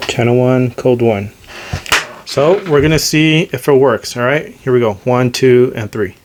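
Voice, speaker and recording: A man's voice: medium pitch, a little slow. Casual man, unscripted, over noisy background. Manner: hesitant, unscripted, self-deprecating. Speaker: host demonstrating radio gear. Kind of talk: casual hands-on gadget demonstration.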